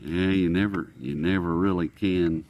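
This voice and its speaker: A man's voice: deep voice, fairly even intonation, moderate pace, lo-fi sound, slight background noise. A man hosting a hobby channel, giving a casual, unscripted demonstration in a folksy, rambling way as he muses aloud. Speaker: radio hobbyist channel host. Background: shortwave radio being tuned.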